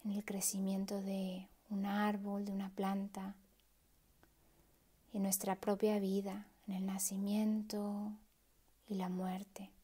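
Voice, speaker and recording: Soft, mellow woman guiding a meditation. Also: mid-range voice, completely flat delivery, slow pace, breathy edge. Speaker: meditation guide. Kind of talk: guided meditation.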